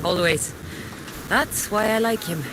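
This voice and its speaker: An actress playing a young, wry and determined mercenary warrior in a video game. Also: female voice, medium pitch, a little slow, noisy background, natural voice. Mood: guarded, frank. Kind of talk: video game cutscene acting.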